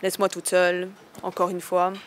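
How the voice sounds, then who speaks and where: A woman's voice: fairly low pitch, completely flat delivery, moderate pace, a little hiss or hum, close to an everyday voice. A young woman playing a sulky girl on a date, muttering sarcastic asides about him. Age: young adult.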